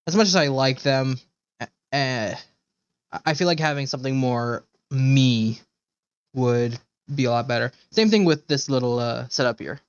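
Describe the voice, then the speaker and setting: Low-key man streaming, dry muffled mic. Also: clear, resonant tone, no echo. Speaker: live streamer. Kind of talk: casual livestream chat.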